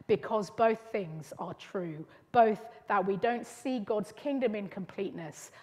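A middle-aged woman giving a sermon at a church lectern, speaking in an earnest, gentle teaching manner with measured pauses. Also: medium pitch. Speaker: preacher leading a church service. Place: church hall. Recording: long church reverb.

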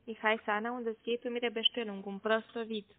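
High-voiced woman on a phone line. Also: level tone, a little fast, crowd noise. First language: not German. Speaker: call center agent. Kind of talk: sales phone call. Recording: muffled narrow phone line.

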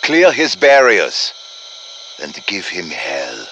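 An actor playing an urgent, commanding professor who briefs soldiers in a deliberate, dramatic manner, as video game voice lines. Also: male voice, deep voice, huge pitch swings, sharp tone, slight background noise, heavily acted, rough recording. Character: urgent, commanding male professor guiding soldiers against demons. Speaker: game character voice, mission guide.

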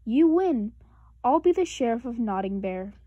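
A woman's voice: a little high, very animated, normal speed, mellow, no echo, highs cut off. A woman reading the part of a bossy young boy bear cub in a storybook, emphatic and directing others. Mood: exasperated.

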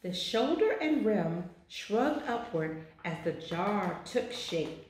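A woman in her forties reading a children's picture book aloud with deliberate, expressive phrasing in an office. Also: medium pitch, a little hiss or hum. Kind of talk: children's storybook read-aloud. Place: small indoor office. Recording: very echoey, roomy sound.

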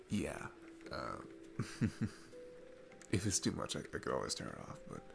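A man playing a shy, sweet single dad smitten with his babysitter, speaking gently and haltingly in a romantic ASMR roleplay. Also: very deep voice, gravelly, pitched below the performer's own voice, exaggerated voice. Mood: sheepish, tender.

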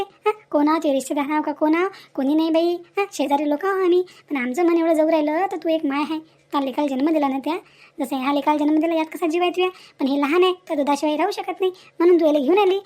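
A scolding, motherly village woman from a cartoon moral tale , acted out by a woman. Her voice sits extremely high and moves quickly. She speaks in rural Varhadi Marathi, driving her reproaches home with emphatic rhetorical questions. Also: a little mellow, close to an everyday voice. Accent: rural Maharashtra, Varhadi Marathi. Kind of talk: dramatized dialogue for a cartoon moral story.

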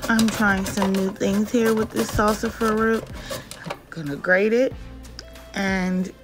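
A woman giving an unhurried, step-by-step cooking narration in a home kitchen, in a homely, instructional way. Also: medium pitch, steady tone. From Jamaican parents. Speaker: cooking vlogger. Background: background music.

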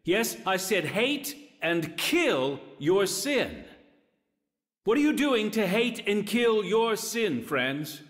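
Fired up preacher in audio drama, really animated, emphatic, long church-like reverb. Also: male voice, high voice, takes it slowly, exaggerated voice. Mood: fervent. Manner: emphatic, rhetorical. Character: fervent male preacher urging repentance. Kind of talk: dramatic sermon in a radio play.

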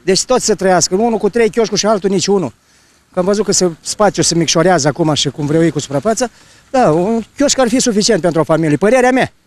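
High-pitched older man, plain-spoken. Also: darkish tone. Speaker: passer-by interviewee. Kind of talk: casual street interview answers. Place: outdoors on a snowy street. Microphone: reporter's handheld microphone.

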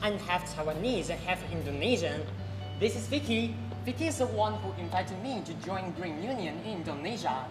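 A teenage girl acting in a school stage play, projecting clearly. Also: lowish voice, lively intonation, normal speed, over music. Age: teens. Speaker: student performer. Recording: echoey hall stage recording.

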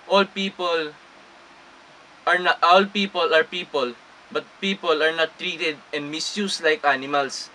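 A man's voice: on the high side, unhurried, sharp tone, a little hiss or hum, rough recording. A young man with a Filipino accent talks earnestly to the camera in a small room, switching between Tagalog and English and restarting words as he reads English passages. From Philippines. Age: young adult. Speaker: vlogger. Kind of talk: casual talk to camera, mixing Tagalog and English.

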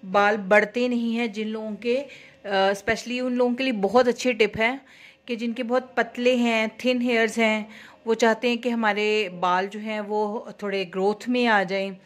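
A woman who runs a beauty salon, chatting step by step through a homemade hair oil recipe in her kitchen, with small fillers as she works. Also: mid-range voice. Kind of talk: home cooking-style hair oil tutorial. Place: home kitchen at stove.

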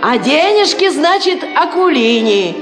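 A wily peasant matchmaker woman in a 1950s Soviet radio play. She coaxes and insists in folksy speech, slow and high. The recording is roomy, with music behind. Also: sing-song. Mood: insistent.

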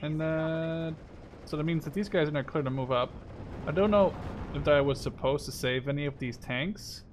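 A man's voice: on the high side, moderate pace, a little hiss or hum. Young man commentating casually over game gunfire. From Netherlands. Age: 20s. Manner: sweary, thinking aloud. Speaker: video game streamer. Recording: gunfire and explosions from game behind. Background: war game artillery and gunfire.

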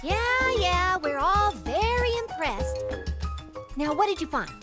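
A woman's voice: very high-pitched, very animated, slow pace, over loud music, heavily acted. A woman voicing a perky, sassy young female researcher in a video game, girlish and cartoonish. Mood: impatient.

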